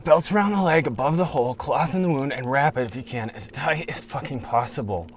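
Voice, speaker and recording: A man's voice: mid-range voice, fairly quick, slight background noise, natural voice. An actor playing a wounded, suffering man who is intense and accusing, in an audio drama. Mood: pained, desperate.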